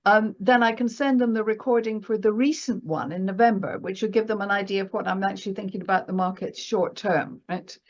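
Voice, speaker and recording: A woman's voice: medium pitch, a little fast, few pauses, highs cut off. A woman speaking as a guest market analyst in a casual, chatty conversation, often checking for agreement with little tag questions.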